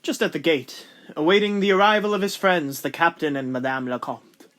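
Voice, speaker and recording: A man as a high-voiced, gallant young lieutenant. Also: expressive, brisk, fairly bright tone. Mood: reflective. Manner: formal, flowery. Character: courteous, devoted young lieutenant in love. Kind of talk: amateur period audio drama acting.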